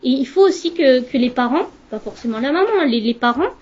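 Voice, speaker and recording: High-voiced woman, halting and reflective. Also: animated, darkish tone. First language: Urdu. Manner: halting, searching for words. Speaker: interviewee, Urdu teacher. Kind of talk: reflective interview talk. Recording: slightly muffled recording.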